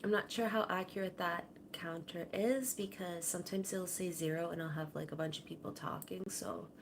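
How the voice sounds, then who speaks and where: A woman hosting a casual livestream from her home kitchen, talking softly and rambling, her sentences often trailing off quietly. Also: lowish voice, steady tone, normal speed, vocal fry, slight background noise. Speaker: livestream host. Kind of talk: casual chat to viewers. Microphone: camera on a tripod.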